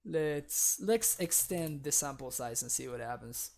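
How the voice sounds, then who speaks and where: A man's voice: a little high, vocal fry, a little mellow. A young man, a native Spanish speaker with a Spanish accent, chatting casually with viewers while streaming a card game, often laughing. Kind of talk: casual live-stream commentary.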